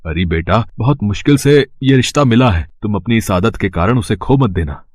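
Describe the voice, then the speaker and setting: A worried middle-aged father acted by a man in a family storybook. He sounds earnest and fretful, with lively inflection and a dry sound. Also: fairly low pitch, normal speed, no room sound.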